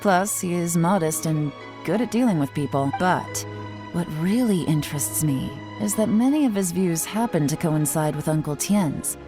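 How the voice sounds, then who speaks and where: An actress playing a cool, perceptive investigator in a video game, measured, analytical and matter-of-fact. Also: female voice, lowish voice, animated, music in the background, close to an everyday voice. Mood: analytical, composed.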